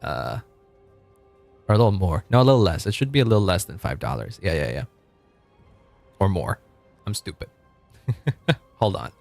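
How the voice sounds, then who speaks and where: A deep, low-pitched man from Argentina chats loosely with his stream audience, doubling back on his own words and laughing softly. He answers chat as he goes. Quiet music plays underneath. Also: big pitch swings, moderate pace, vocal fry, long pauses. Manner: rambling, self-correcting, chuckling, reading chat aloud. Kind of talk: casual livestream chat.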